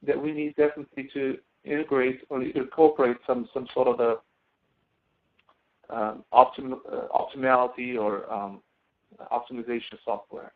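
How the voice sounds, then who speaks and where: A man teaching a live online software lesson, explaining step by step in a halting way as he demonstrates. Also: medium pitch. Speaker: instructor. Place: online screen-share session. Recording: thin phone-like audio.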